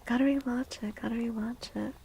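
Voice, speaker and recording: A soft-spoken woman hosting an ASMR livestream, gentle and unhurried, with a breathy, often whispered voice. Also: fairly high pitch, steady tone, slight background noise. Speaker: livestream host and tarot reader.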